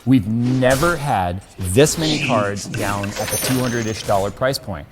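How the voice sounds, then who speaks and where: A man's voice: mid-range voice, a little slow, loud music behind the voice. A man talking casually and candidly to his viewers as a tech YouTuber, a bit exasperated.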